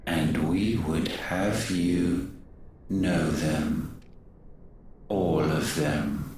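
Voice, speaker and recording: Slow, echoing, ancient god. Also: male voice, low-pitched, slight background noise. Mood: menacing, solemn. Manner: portentous, archaic, measured. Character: ancient godlike male being, grave, haughty, condemning mankind. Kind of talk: solemn scripted video game monologue. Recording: echoing voice over loud music.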